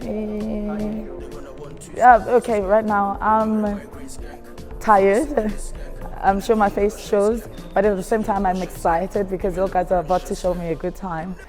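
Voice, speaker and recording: A woman's voice: medium pitch, steady tone, measured pace, a little mellow, soft background music. A woman from Zimbabwe chatting casually and candidly as a guest. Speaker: guest, Amapiano DJ and producer.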